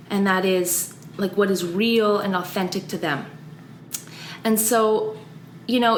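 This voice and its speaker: A woman's voice: mid-range voice, echoey room, a little hiss or hum. A woman who grew up in Austria and Canada, speaking as a guest in an earnest, reflective interview. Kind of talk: conversational interview. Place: office.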